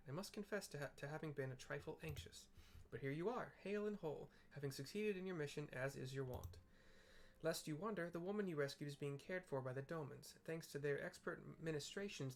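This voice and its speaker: A man's voice: a little high, natural voice. A man voicing an eloquent, courtly young male diplomat from a fantasy game, with formal, wordy phrasing. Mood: courteous, pleased. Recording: clear background noise.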